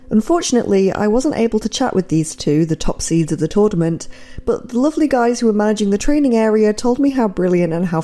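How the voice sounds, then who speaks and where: A woman's voice: medium pitch, dark tone. An English woman hosting a podcast, reading scripted narration between interviews. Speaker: podcast host.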